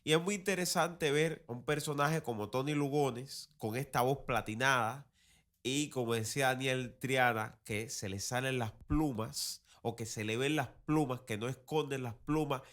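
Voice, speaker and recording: A man with a mid-pitched voice hosts a commentary show. He talks colloquially and critically, like a teacher sharing opinions. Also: moderate pace, fairly bright tone. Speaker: podcast host and teacher.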